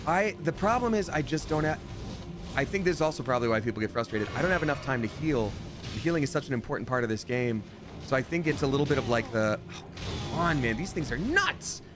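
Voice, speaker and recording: Guy rambling while he streams a game, normal pitch, gets excited fast, game music behind. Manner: chatty and rambling, with sudden excited jumps. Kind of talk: live gameplay commentary.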